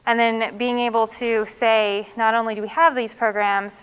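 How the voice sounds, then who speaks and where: A woman's voice: fairly high pitch, little pitch movement, normal speed, clean tone, phone-quality sound. A woman, an academic, giving a spoken interview answer. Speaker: associate professor interviewee. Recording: long reverb of a hall.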